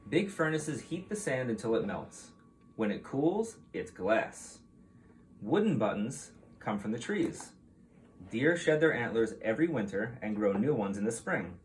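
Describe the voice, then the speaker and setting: A man reading a picture book aloud to young children like a teacher, in an expressive, explanatory way. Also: mid-range voice, a little hiss or hum.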